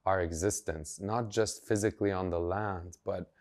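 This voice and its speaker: Deep-voiced earnest man being interviewed. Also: steady tone, moderate pace, a little mellow. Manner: earnest, emphatic, thinks aloud. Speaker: journalist and activist guest. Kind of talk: podcast interview conversation.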